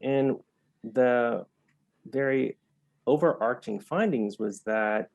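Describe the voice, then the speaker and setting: An American man giving thoughtful interview answers in a measured, explanatory way, stressing key words. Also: medium pitch, vocal fry, a little mellow, no echo. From United States. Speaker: interview guest, health entrepreneur.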